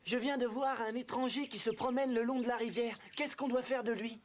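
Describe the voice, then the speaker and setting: A performer playing an eager, excitable young outlaw lookout in an animated film. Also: medium pitch, a little fast, a little hiss or hum, close to an everyday voice.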